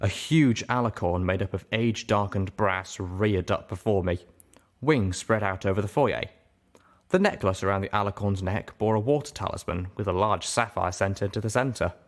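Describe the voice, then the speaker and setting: A man with a fairly low voice reads fiction aloud. His delivery is expressive first-person narration, as in an audiobook. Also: moderate pace. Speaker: audiobook reader.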